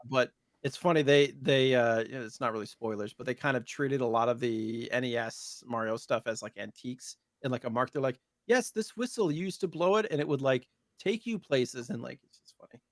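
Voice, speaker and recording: A man with a mid-pitched voice chats as a podcast co-host. His delivery is loose and rambling, recorded close and dry with no room sound. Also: fairly quick, hardly any pauses, no echo. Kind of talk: casual chat about retro gaming news.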